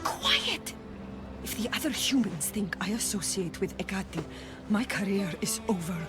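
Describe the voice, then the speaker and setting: A woman's voice: mid-range voice, moderate pace, lightly acted. An actress playing an anxious, proud orator and mother in a video game, speaking in an urgent, formal manner. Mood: wary, alarmed. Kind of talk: video game cutscene acting. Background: background music.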